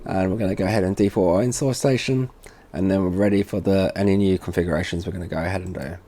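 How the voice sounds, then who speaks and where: A man giving an informal software tutorial, thinking aloud as he works at a computer. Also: low-pitched, steady tone, fast talker. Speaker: tutorial presenter for a security products seller. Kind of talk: casual software walkthrough narration.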